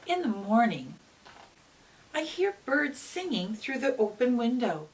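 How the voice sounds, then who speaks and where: A woman reads to young children at an unhurried, slow pace, her pitch swinging widely for expression. She sounds like a gentle teacher, pausing to ask her listeners questions. The recording is picked up from a distance, with a faint hiss. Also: sing-song. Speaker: teacher reading a picture book aloud to children.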